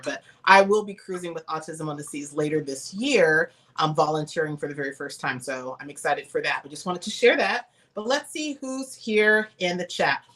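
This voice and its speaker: Lady with a cold, kinda nasal and lowish, chatting to her livestream, lots of ums. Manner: warm greetings to viewers, frequent "um" fillers. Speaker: host and travel advisor. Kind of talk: casual livestream chat.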